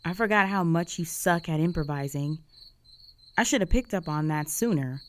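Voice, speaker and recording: A slightly low-voiced young female roommate, acted by a woman in a romantic audio roleplay. She is jealous and easily flustered, hiding a crush. Her delivery is intimate, conversational and hesitant, with feelings close to the surface. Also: moderate pace, lightly acted. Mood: puzzled, insistent. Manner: conversational, hesitant, sighing, emotionally exposed.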